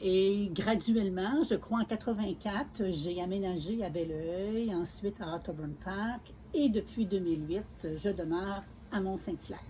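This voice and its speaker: A Québécoise woman in her sixties speaks in a measured, earnest way, pausing often to find her words. Her voice sits at an ordinary middle pitch, with faint crickets and insects chirping behind her. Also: warm tone, a little hiss or hum. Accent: Quebec French. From Quebec City, Canada. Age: 60s. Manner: measured and earnest, with many filler pauses. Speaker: mayoral candidate being interviewed. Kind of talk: casual interview, telling her life story.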